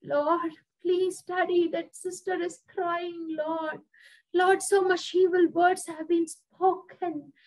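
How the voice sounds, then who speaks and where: A woman leading fervent spontaneous prayer at a live gathering, repeating her phrases and ending each with a soft, dropping call to the Lord. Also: little pitch movement, slow, poor audio. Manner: repetitive, ends phrases with soft low 'Lord Jesus'. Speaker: prayer minister.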